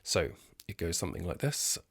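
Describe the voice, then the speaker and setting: A hoarse man hosting a casual piano tutorial at home, talking in a relaxed, halting way and counting beats aloud. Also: deep voice. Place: at home, indoors. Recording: piano playing behind the voice.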